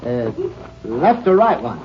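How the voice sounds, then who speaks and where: An American actor playing a surly, suspicious crooked cowhand in a 1940s radio drama, talking in curt cowboy slang. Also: male voice, mid-range voice, big pitch swings, slow pace. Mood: wheedling, defensive. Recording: dull, noisy old radio recording.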